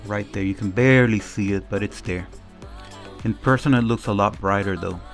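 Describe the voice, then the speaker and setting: A man's voice: on the low side, moderate pace, faint music behind. A man giving a casual, informal product demo, explaining things step by step as a reviewer. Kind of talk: casual unboxing and demo commentary.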